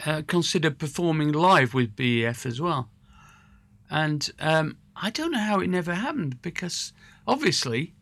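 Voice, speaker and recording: A man interviewing a guest in a relaxed, rambling way, full of hesitations and restarts. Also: on the high side, no echo. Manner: rambling, hesitant, self-correcting. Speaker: interviewer and musician. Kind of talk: casual conversational interview.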